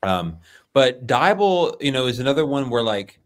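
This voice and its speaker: A man in his thirties with a medium-pitched voice talks casually as a music review panelist. He thinks aloud in a halting way, close to a desk microphone in a small home room. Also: very animated.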